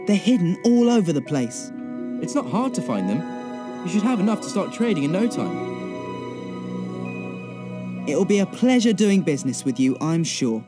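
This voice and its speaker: Mischievous teenage schoolboy, high-pitched, very animated, breezy patter, video game, clear backing music. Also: male voice, fairly quick. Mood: breezy, scheming. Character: cheeky, mischievous teenage schoolboy wizard twins.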